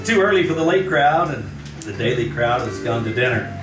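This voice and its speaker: A man's voice: mid-range voice, lively intonation, brisk, natural voice. A man playing a genial 1940s radio announcer, delivering scripted narration in a formal, presentational way. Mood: scene-setting. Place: live on a theatre stage. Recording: roomy stage, distant mic, loud music.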